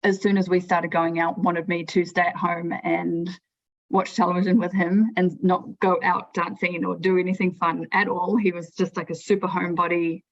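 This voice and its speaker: A woman's voice: on the low side, level tone, moderate pace. Chatty New Zealand woman, lo-fi sound. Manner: chatty, frank, frequent restarts and "like". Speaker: guest. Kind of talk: podcast interview storytelling. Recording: lo-fi remote audio.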